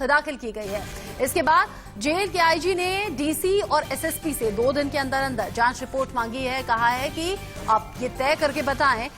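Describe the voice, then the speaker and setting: News anchor, female. High register, television news delivery over faint music. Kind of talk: Hindi television news presentation.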